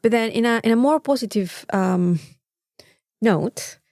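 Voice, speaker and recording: A woman's voice: a little high, a little slow, darkish tone, no room sound, clean studio sound. A woman with a Mexican accent, co-hosting a casual podcast chat in an informal, rambling, sweary way. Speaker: podcast co-host.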